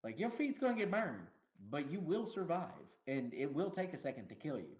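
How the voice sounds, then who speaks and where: A man co-hosting a casual movie review podcast, animated and laughing often as he acts out lines from the film. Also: on the high side, brisk, highs cut off. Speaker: podcast co-host.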